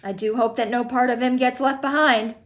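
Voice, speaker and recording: A woman's voice: on the high side, steady tone, a little fast, a bit bright, natural voice. A woman voicing an eccentric, fussy male chocolatier with a theatrical, exclamatory way of talking. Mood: fretful.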